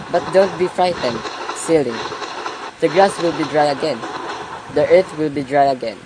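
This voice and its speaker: Kindly old country uncle, played by an amateur actor: pious, folksy, soothing, mid-pitched, light noise behind. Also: lightly acted. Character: kindly, pious old peasant uncle, gently chiding children. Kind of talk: amateur radio play reading. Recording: noisy amateur home recording.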